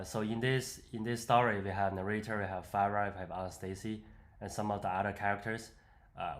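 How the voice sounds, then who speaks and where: A man presenting an unscripted software walkthrough, explaining step by step in a hesitant way. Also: fairly low pitch. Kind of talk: unscripted screen-demo walkthrough.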